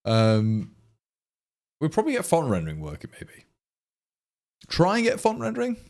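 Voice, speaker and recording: A man's voice: fairly high pitch, huge pitch swings, normal speed, darkish tone. An English man with an East Midlands accent, chatting casually to stream viewers in a rambling, exasperated way with many restarts. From England. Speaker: livestream programmer.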